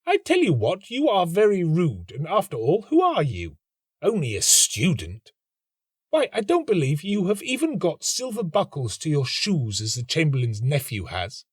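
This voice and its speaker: A man's voice: on the high side, wildly swooping pitch, normal speed, husky, no room sound, clean studio sound, voice pushed up, exaggerated voice. A man voicing a haughty, materialistic young woman in a storybook, snobbish and dismissive. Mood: offended, scornful.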